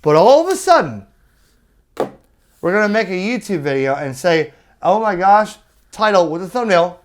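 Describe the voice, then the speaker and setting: A man giving an opinionated rant as a video host, emphatic and argumentative, with rhetorical questions and pauses for effect. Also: high voice, sing-song, slight background noise. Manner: exasperated, argumentative. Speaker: amateur radio YouTube host.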